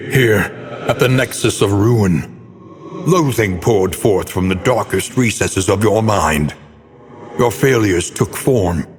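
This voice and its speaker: Super deep grave old scholar narrator for a gothic game, slow n ominous, solemn and theatrical. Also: male voice. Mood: grave, accusing. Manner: solemn, portentous, theatrical, deliberate phrasing. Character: grave, brooding older male scholar narrator of gothic doom. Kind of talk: scripted dramatic game narration. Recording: long cavernous reverb on voice.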